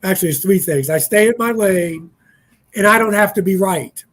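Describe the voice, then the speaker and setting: A man's voice: high-pitched, normal speed, fairly bright tone. A man in his sixties, a panel guest speaking in a reflective, easygoing way on a casual radio talk show. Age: 60s.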